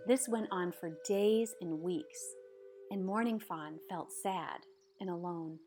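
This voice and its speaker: A woman reading a children's picture book aloud in a measured, dramatic storytelling manner. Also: fairly low pitch, over music. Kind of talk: expressive scripted storybook narration.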